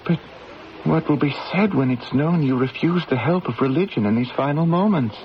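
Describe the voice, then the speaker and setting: An actor playing a nervous, deferential prison guard in a radio drama, hesitant and respectful. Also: male voice, on the high side, moderate pace, natural voice. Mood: nervous, gentle. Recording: muffled old radio recording, faint noise.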